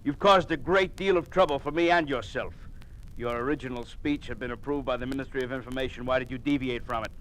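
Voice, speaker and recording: A menacing communist commissar , acted by a man speaks in a medium-pitched voice. He is cold, pompous and doctrinaire, handing down warnings in clipped phrases. The style is classic radio drama. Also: fast talker, a bit bright, close to an everyday voice, low-quality recording. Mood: angry, stern. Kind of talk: 1950s American radio drama acting.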